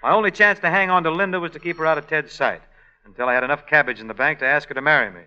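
A man's voice: on the high side, bright, forceful tone, smooth. A man playing a joking song-and-dance man in a 1940s radio play, on a dull old broadcast recording. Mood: breezy. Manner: breezy, joking, quick patter. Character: wisecracking male song-and-dance man Jim. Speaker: actor in radio play, also narrator.

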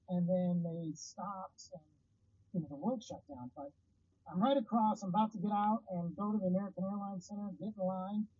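A man's voice: high voice, mellow, husky, dry recording, dull audio. A man hosting his own podcast, talking casually and rambling in a self-deprecating way from his parked car. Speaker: podcast host. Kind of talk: casual solo podcast chat.

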